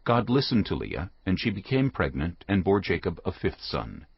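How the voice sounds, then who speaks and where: A man's voice: deep voice, big pitch swings, normal speed, breathy edge, no room sound, lo-fi sound. A man reading scripted narration for a dramatized audio Bible. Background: background music.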